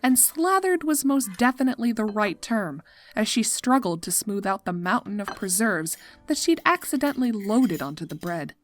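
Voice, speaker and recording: A woman's voice: medium pitch, big pitch swings, moderate pace. Woman narrating a story over music.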